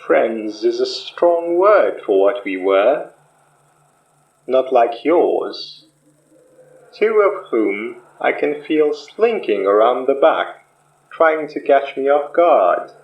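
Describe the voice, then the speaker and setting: An actor playing a polished, sinister sorcerer spirit from the past in an audio horror drama, measured and theatrical. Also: medium pitch, big pitch swings. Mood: politely menacing. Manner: slow, measured, theatrical, politely menacing. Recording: muffled, echoey room.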